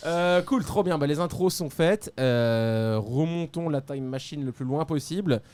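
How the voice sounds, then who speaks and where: A man hosting a casual podcast interview, chatty and quick, slipping English words into his French. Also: a little high, lively intonation, a little mellow. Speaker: podcast host.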